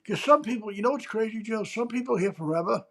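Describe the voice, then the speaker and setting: Chatty high-voiced man co-host. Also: animated, quick pace. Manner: chatty, often ends lines with "right?". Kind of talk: casual livestream chat.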